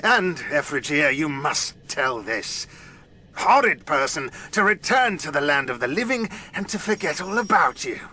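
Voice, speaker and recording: Casting: grandiose demon-lord villain of audio drama, highish sharp voice, animated swoops, oily menace. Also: male voice, a little slow, slight background noise, heavily acted. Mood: oily, possessive. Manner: theatrical, grandiose, oily and scornful. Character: pompous, menacing, possessive fairy king, a demon lord.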